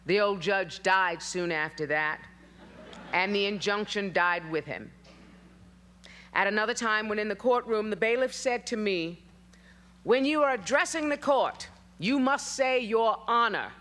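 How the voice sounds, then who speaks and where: An actress playing a feisty, defiant elderly female union organizer rallying miners in a forceful, wry, declamatory dramatic reading. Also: medium pitch, unhurried, lightly acted.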